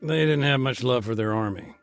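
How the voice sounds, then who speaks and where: An actor playing a middle-aged, war-weary former peacekeeper, speaking plainly with military jargon and dry humor, in a game cutscene. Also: male voice, medium pitch, fairly quick, no room sound, lightly acted. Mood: calm. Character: war-weary male ex-peacekeeper telling his story. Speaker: interviewee. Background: music.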